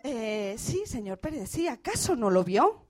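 A woman playing a flustered, excitable female field reporter who stammers and repeats herself in a parody radio broadcast. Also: fairly high pitch, measured pace. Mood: exasperated. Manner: breathless exclamations, stammering, exasperated repetition. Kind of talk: radio theatre parody of live news report.

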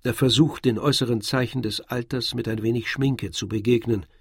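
A man narrating a literary radio drama from a script in a measured storytelling manner. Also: mid-range voice, fairly even intonation, creaky, slightly rough, no echo, studio quality. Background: faint music.

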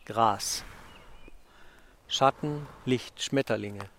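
A man speaks in deliberate, repeated fragments as he walks outdoors. His delivery is extremely slow and creaky, at medium pitch, over faint noise and clicks. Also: a bit bright. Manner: deliberate, repeating single words. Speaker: performer in a walking sound piece. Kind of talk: fragmentary spoken word, naming what is seen. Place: outdoors on a forest path.

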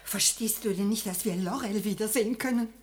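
A woman's voice: mid-range voice, fairly quick, lightly acted. An actress in a German radio play voicing a grieving mother and wife, pleading with stubborn, emotional insistence.

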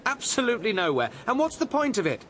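A man's voice: very high-pitched, huge pitch swings, fast, fairly bright tone, a little hiss or hum, heavily acted. An actor in a radio drama playing a restless, disillusioned, impulsive young male composer.

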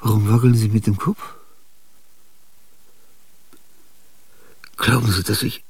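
An actor in a radio drama playing an ailing, aging male genius, restless and grandiose. He speaks confidingly in a husky, gravelly voice, with sudden outbursts and whispers. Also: lowish voice, no room sound. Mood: uneasy. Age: older. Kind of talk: theatrical radio drama monologue.

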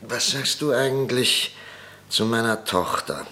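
An actor playing a weary, irascible middle-aged conservative politician in a radio drama, blunt and sardonic. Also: male voice, mid-range voice, a little slow, bright, forceful tone. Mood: gruff, defensive. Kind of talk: German radio drama dialogue.

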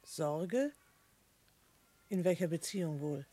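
A woman's voice: fairly low pitch, lively intonation, slow pace, warm tone. An actress playing a sensible, guarded middle-aged mother in a radio play, speaking in a composed, formal and reserved manner. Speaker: actor. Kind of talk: German radio play acting.

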